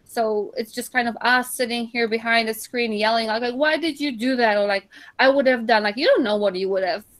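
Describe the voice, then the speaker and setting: A woman speaking on a casual online panel, nasal from a cold and hesitant, with halting starts. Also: on the high side, a bit bright. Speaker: panel guest.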